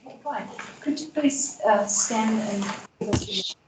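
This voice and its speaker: A woman chairing a board meeting, running formal procedure in a deliberate way with frequent hesitations. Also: fairly high pitch, background noise. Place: school board meeting room. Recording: distant mic in an echoey meeting room.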